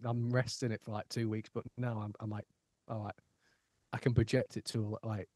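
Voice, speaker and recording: A man's voice: lowish voice, normal speed, no echo. A young man hosting a podcast, chatting casually and acting out what he used to say. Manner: animated storytelling, acts out his own past remarks. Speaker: podcast host. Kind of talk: casual chat.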